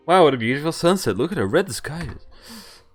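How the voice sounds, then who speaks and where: A man's voice: on the high side, very animated, brisk. A man giving casual playthrough commentary on a game, reading its text aloud with wry, offhand asides. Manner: wry offhand asides between read lines. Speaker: game streamer. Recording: game music behind the voice. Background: visual novel music.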